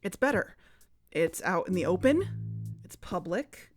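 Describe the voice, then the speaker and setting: A woman's voice: lowish voice, sing-song, a little slow, dry recording, clean studio sound. An actress playing a serious young woman investigator who hosts a podcast, in scripted narration and dialogue. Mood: guarded, resolute. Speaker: podcast host. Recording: music under the voice.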